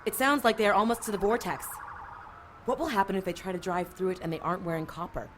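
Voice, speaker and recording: A woman's voice: medium pitch, fairly even intonation, quick pace, a little hiss or hum, close to an everyday voice. A woman playing a feisty, skeptical sidekick in an audio drama, blunt and full of questions. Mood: urgent, sassy.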